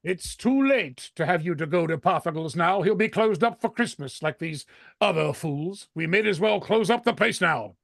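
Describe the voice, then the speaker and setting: A man's voice: sits high, lively intonation, moderate pace, vocal fry, a bit bright, dry recording, studio quality, exaggerated voice. A man playing a grumpy, bullying old miser in an old-time radio drama, barking and grumbling, easily rattled into stammering. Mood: irritable, scornful.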